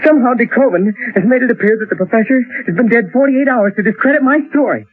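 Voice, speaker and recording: Frantic high-voiced man, in old radio drama. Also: fast. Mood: frantic, insistent. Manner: pleading, agitated, insistent. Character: frantic, desperate man insisting he witnessed an alien plot. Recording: muffled, lo-fi old radio recording.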